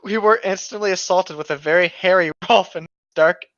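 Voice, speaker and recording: Bright-voiced man, slightly dull recording. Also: sits high, normal speed, dry recording. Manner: sweary, self-correcting reader. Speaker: co-host. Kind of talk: reading a story aloud with casual comedic commentary.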